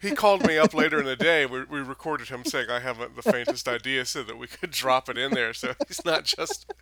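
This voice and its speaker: A man in his late twenties from Minnesota, co-hosting a podcast with wry, deadpan humor, a little hoarse after being sick. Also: medium pitch, fairly quick. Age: late 20s. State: slightly hoarse. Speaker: podcast co-host. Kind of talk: casual chat.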